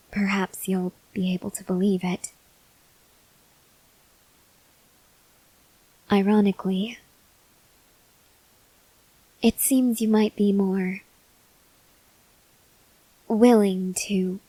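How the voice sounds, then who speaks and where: A woman playing an earnest, desperate female vampire scientist in an ASMR roleplay, speaking haltingly in a breathy voice that drifts into whispers. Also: mid-range voice, warm tone, no echo, poor audio. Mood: polite, hesitant. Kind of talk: ASMR roleplay, slow dramatic monologue to the listener.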